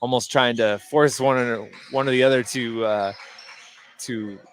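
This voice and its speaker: A man's voice: medium pitch, steady tone, unhurried, a bit bright. Male color commentator, faint background noise. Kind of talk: conversational live basketball analysis.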